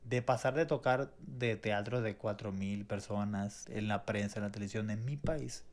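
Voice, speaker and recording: A man's voice: on the low side, normal speed. Earnest Venezuelan-born man sharing testimony. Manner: earnest, reflective, with many restarts and repetitions. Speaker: co-host.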